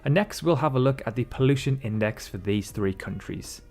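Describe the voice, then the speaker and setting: A man's voice: mid-range voice, expressive, fairly quick, darkish tone. A man narrating a scripted country comparison in an upbeat, emphatic way. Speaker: video narrator. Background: faint background music.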